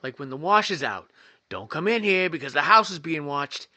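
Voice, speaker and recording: A man's voice: a little high, expressive, moderate pace, sharp tone, no echo. A man playing a scared, earnest young gang kid in a 1950s-style radio drama, speaking in clipped phrases. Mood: anxious, confessing.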